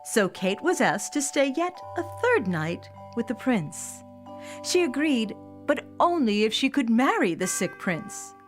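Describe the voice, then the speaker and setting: A woman with a fairly high voice narrates a fairy tale for young listeners. She works from a script as a storyteller. Music plays clearly underneath her. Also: sing-song, measured pace.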